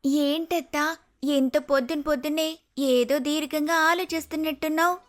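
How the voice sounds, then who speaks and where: A woman voicing a cheerful, respectful young woman in an animated moral story. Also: sits high, moderate pace, soft background music, close to an everyday voice. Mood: eager, persuading. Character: brave, cheerful, respectful young woman, a helpful house guest.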